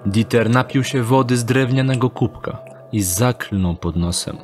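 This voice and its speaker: A man narrating an audio drama in a measured, deliberate storytelling manner. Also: mid-range voice, music in the background.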